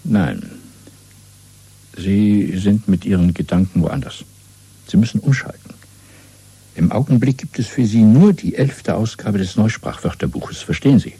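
A senior party official from a radio drama, coolly authoritative and secretive. His voice is dark and very husky, recorded close and dry. He instructs in measured, deliberate sentences. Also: on the low side, no room sound, full character voice. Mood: cool, instructing. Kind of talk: 1970s German radio play acting.

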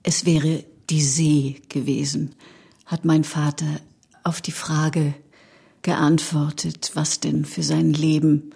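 A woman's voice: sits low, flat delivery, takes it slowly, gravelly, dark tone, breathy edge. A woman sharing a spoken recollection as an interviewee.

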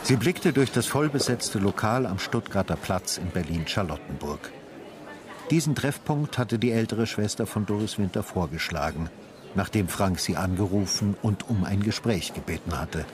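An actor playing a brooding, sardonic retired homicide detective, narrating a literary radio drama with deliberate, inner-monologue delivery. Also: male voice, expressive, a crowd in the background, lightly acted. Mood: sober. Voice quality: husky, low.

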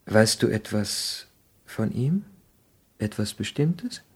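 An actor playing an ambitious, hot-headed young son, idealistic and argumentative, in a 1960s German radio drama. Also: male voice, lowish voice, very animated, takes it slowly, breathy edge, exaggerated voice. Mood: insistent, puzzled. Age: young adult. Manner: impassioned, argumentative.

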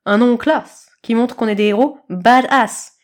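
A woman voicing a bold, excitable kitten who fancies itself a grand storybook adventurer. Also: on the high side, a little slow, lightly acted. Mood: excited. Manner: grandiose, exclaiming.

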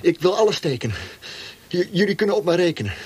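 A frightened young prisoner in a radio play, with a high, husky voice. He pleads anxiously and stammers over his words. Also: sing-song, quick pace, a little mellow, full character voice. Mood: anxious pleading. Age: young adult. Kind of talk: radio drama acting.

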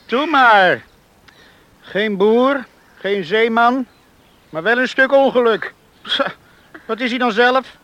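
Young rural lad, high-pitched, defiant, bantering, protesting, radio play delivery. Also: male voice, slow pace, long pauses, bright, forceful tone, dull audio, heavily acted. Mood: indignant. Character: defiant, hot-headed young drifter in love, rural islander. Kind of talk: Dutch radio play acting.

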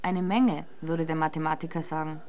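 An actress playing a cool, cheeky young crime novelist and sleuth in a radio play, wry and self-assured. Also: female voice, low voice, fairly even intonation, normal speed, a little mellow, close to an everyday voice. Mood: amused, sly. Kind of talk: German radio play dialogue.

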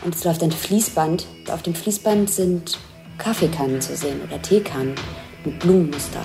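Woman scene describer, lowish voice, observational commentary over music. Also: moderate pace, vocal fry.

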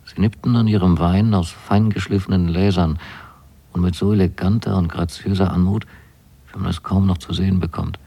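An actor playing an earnest, rapturous son in a radio play, narrating a letter to his mother in measured literary phrases. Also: low-pitched, fairly even intonation, vocal fry, warm tone, slightly rough, exaggerated voice. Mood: dreamy, contented.

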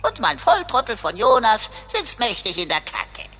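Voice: medium pitch, huge pitch swings, normal speed, pushed and bright, heavily acted. A woman playing a cheeky, verbose robot companion, pompous and sarcastic, in a sci-fi radio play. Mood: mocking, gloating. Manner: pompous quoting, sarcastic, refers to itself in third person. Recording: reverberant radio play with faint music.

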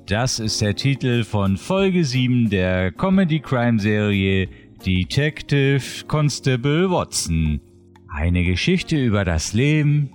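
A man's voice: mid-range voice, darkish tone. A man presenting a roundup of new releases in scripted announcement narration.

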